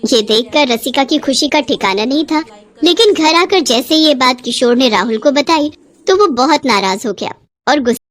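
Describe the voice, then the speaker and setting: A woman's voice: high voice, animated, normal speed. A woman narrating a scripted family story in a dramatic storytelling style.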